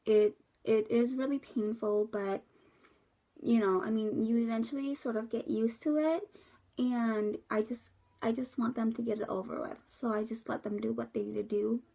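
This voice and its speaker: A young woman from the Midwest talks casually to camera, a bit congested from a cold. Her fairly high voice keeps sliding into a gravelly fry. She wanders between thoughts with plenty of ums and restarts. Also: darkish tone. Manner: rambling, with many fillers and restarts. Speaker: vlogger. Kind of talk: casual recovery update to camera.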